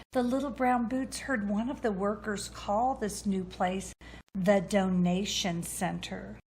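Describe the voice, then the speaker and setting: Slow-paced woman reading a children's story. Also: mid-range voice, slight background noise, low-quality recording. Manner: slow, deliberate narration. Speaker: storybook reader. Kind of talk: children's story read-aloud.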